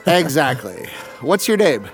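A man as a gravelly-voiced, joking klutz. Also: a little high, big pitch swings, measured pace, soft background music. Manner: joking, easygoing. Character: clumsy good-humored young man, accident-prone. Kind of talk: comedic audio drama.

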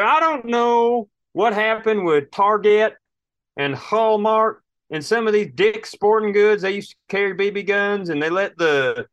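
A man with an American accent and a somewhat high voice tells stories on a comedy podcast. He rants with comic exasperation and acts out what people say. The sound is dry, with no room echo. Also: a bit bright. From Minnesota, United States. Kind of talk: casual comedic storytelling.

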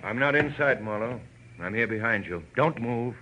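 An actor playing a cold, calculating blackmailer, controlled, menacing and contemptuous, in 1940s radio drama style. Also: male voice, medium pitch, animated, normal speed, close to an everyday voice. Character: cold, calculating male blackmailer holding a gun. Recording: vintage radio recording with faint noise.

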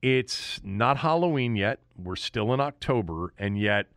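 A man's voice: fairly low pitch, animated, a little slow, fairly bright tone, dry recording, clean studio sound. A man with an American accent giving an opinionated sports monologue as a host, speaking emphatically and repeating phrases for weight. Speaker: sports podcast and radio host.

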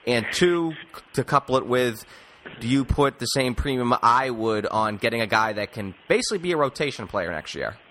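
A man's voice: medium pitch, lively intonation, normal speed, pushed and bright, a little hiss or hum, poor audio. A man hosting a casual sports podcast, rephrasing as he circles toward long, rambling questions.

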